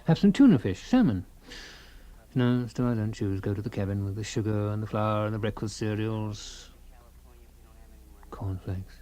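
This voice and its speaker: A man's voice: fairly low pitch, normal speed, dark tone, lightly acted. An actor playing a fussy, self-absorbed husband in a radio comedy-drama, rambling and savouring small things, stoic about his creeping paralysis. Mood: wistful relish.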